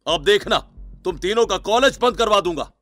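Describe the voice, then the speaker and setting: A man voicing an angry, domineering middle-aged father in a cartoon story, scolding and threatening with drawn-out rebukes. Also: sits high, expressive, a bit bright, dry recording, soft background music, voice pushed up. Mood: furious.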